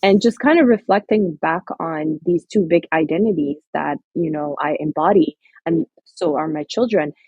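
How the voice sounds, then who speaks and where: A woman's voice: sits low, breathy edge, dry recording, phone-quality sound. A woman from Somaliland, an author and guest, talking earnestly and reflectively in an interview, with many fillers and restarts. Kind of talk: interview conversation.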